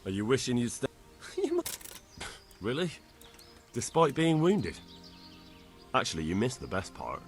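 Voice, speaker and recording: A man's voice: medium pitch, huge pitch swings, unhurried, pauses often, faint music behind. A young man playing a down-to-earth young squire in a video game cutscene, with a dry, teasing way of talking. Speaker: game character.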